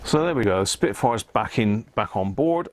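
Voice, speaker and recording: A man's voice: on the low side, expressive, moderate pace. A British man chatting casually and rambling on a hobby livestream, often muttering to himself as he tinkers. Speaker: livestream host and radio hobbyist.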